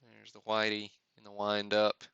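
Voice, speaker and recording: A man's voice: on the low side, monotone, normal speed, fairly bright tone, clean tone, no echo, highs cut off. A man hosting a live card-opening stream with casual, off-the-cuff commentary and muttered asides to himself. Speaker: host of a sports card break livestream.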